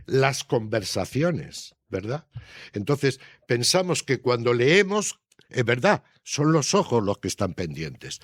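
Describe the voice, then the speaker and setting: A man's voice: medium pitch, dry recording. Older Castilian Spanish man, dry close sound. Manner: explanatory, frequent tag questions. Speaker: children's book author, interviewee. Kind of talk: conversational interview answers.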